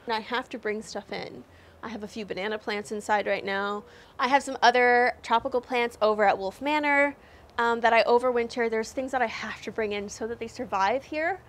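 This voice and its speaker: Chatty Canadian woman touring her garden. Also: a little high. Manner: chatty, upbeat, with frequent laughs and "um"s. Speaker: garden vlogger. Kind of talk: casual walking garden tour. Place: snowy backyard garden outdoors. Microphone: lapel mic.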